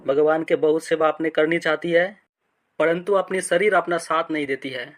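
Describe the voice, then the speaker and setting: A man's voice: a little high, fairly bright tone, lightly acted. A man playing a pompous fake guru in a cartoon comedy, speaking in solemn blessings and chants. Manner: pompous, chanting, repeating devotional catchphrases. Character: fake holy man, pompous male guru feigning meditation.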